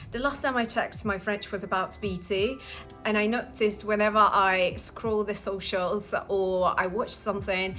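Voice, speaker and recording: A woman's voice: medium pitch, fairly bright tone. A young woman whose first language is Russian, explaining language-learning habits in a casual vlog with frequent "uh" fillers. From Russian-speaking. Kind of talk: casual vlog talk to camera.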